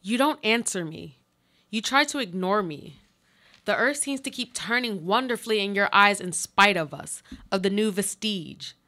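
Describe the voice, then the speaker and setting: An insistent, pleading female double, acted by a woman in a radio play, mid-pitched. She speaks in solemn, philosophical monologues with a declamatory, literary manner. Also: expressive, normal speed, pushed and bright. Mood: pained, accusing.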